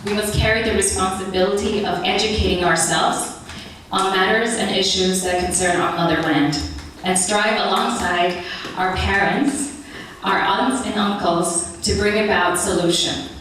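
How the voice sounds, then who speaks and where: Young woman, from Ethiopia, earnest measured speech, far from the mic, heavy hall echo. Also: medium pitch, fairly even intonation, fairly bright tone, a little hiss or hum. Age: young adult. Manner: earnest, measured, reading a prepared address. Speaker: speaker at a public meeting. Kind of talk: prepared speech. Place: large meeting hall. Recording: echoey hall recorded from far off.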